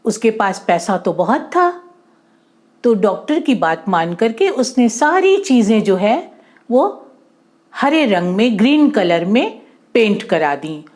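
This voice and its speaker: A woman narrating a moral story for children in an expressive, explanatory way, repeating and rephrasing her points and mixing in English words. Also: a little high, slow.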